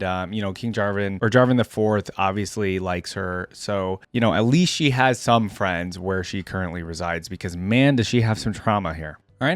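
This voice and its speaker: Guy with a mid pitched voice reading game lore and reacting casually, dry close sound. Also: no room sound. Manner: casual, thinks aloud between read passages. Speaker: host of a fan reaction video.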